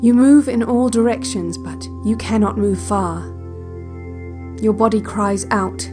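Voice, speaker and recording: A woman playing the calm narrator of a relaxation cassette in an audio drama, giving measured, deliberate guided visualization over music. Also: medium pitch, natural voice. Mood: calm, hypnotic. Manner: measured, deliberate, instructional, with short spaced sentences. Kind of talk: slow guided visualization, scripted second-person narration. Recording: music bed under the voice.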